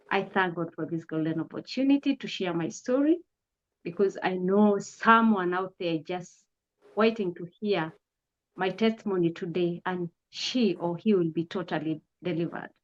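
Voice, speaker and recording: A woman in her forties, a pastor, speaking earnestly and gratefully in an interview, pausing often on "uh". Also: fairly low pitch. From Kenya. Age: 40s. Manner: earnest, grateful, measured with frequent "uh" pauses. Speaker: pastor and guest. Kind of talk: testimony interview. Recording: dull lo-fi audio.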